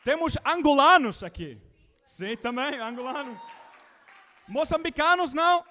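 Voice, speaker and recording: A man's voice: very high-pitched, a little slow, some chatter behind, a little hiss or hum. British man preaching in learner Portuguese. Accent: British English. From Britain. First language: English. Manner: animated, calls out to the congregation.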